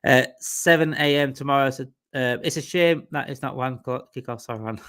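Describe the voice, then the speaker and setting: A man's voice: medium pitch, brisk, a bit bright, smooth. Chatty Yorkshire man hosting a livestream. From Yorkshire, England. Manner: rambling and chatty, repeats himself, reads out viewer comments. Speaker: livestream host. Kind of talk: casual live-stream chat.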